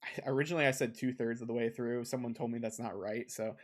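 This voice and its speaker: A man's voice: mid-range voice, steady tone, quick pace. A man co-hosting a casual gaming podcast, rambling with lots of filler ums, recorded on a desk condenser mic at a home setup. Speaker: podcast co-host.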